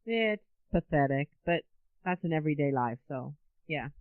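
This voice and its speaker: A middle-aged woman gives casual interview answers with very animated pitch movement. She is playful and blunt, keeping her phrases short. The recording is narrow and tinny like a telephone, with almost no room sound. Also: normal speed, dry recording. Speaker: interview guest. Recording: tinny, narrow phone-like line.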